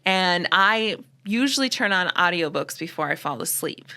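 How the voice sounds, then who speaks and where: A woman co-hosting a podcast, chatting casually with a youthful voice she tries to keep low, rambling with restarts and frequent laughs. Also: animated, normal speed, bright, forceful tone. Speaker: podcast co-host. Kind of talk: casual chat.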